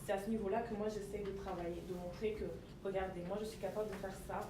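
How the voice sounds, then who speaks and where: Panel guest, 20s, Belgian French accent, earnest, fillers; distant echoey mic. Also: female voice, medium pitch, steady tone, fast. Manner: earnest, self-correcting with many fillers. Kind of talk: panel discussion. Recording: echoey room from far off, noisy.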